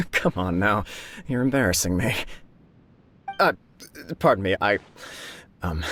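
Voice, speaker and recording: A man's voice: lowish voice, very animated, takes it slowly, fairly bright tone, exaggerated voice. A man playing an earnest, modest young head of a noble clan in a video game, formal, sincere and a little bashful. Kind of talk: video game character acting.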